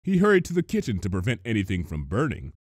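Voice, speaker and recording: Guy narrating a story, really lively pitch, expressive, dry recording. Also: fast talker, dry recording. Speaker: story reader. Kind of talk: scripted narration, expressive fan fiction reading.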